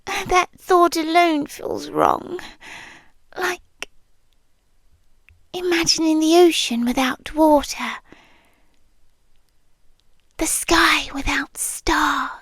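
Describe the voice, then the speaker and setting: A British woman voicing a possessive, centuries-old creature girlfriend in an intimate ASMR roleplay, soft-spoken and often whispering, close to the microphone. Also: high-pitched, fairly even intonation, no echo, heavily acted. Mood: obsessive, tender. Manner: slow, intimate, deliberate pauses, slipping into whispers. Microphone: close, dry microphone.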